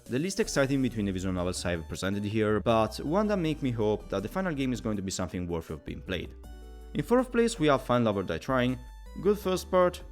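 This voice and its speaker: Fast, lively man narrating a scripted review. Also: medium pitch. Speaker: video game reviewer.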